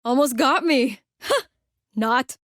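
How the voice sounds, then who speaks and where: A woman's voice: high-pitched, lively intonation, extremely slow, dry recording, exaggerated voice. A woman voicing a feisty, taunting young agent in a video game.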